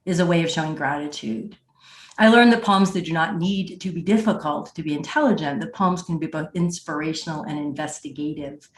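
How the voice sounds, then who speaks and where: A woman hosting a podcast, interviewing in a conversational way, recorded with an echoey room sound. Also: low-pitched.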